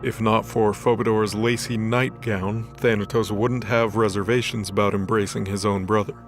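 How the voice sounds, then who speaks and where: Low-voiced male story narrator. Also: flat delivery, normal speed. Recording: soft background music bed.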